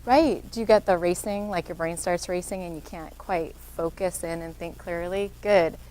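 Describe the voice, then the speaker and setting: A woman with a soft voice, a social worker giving an interactive workshop talk and encouraging answers from the audience. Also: on the low side, normal speed, slight background noise, poor audio.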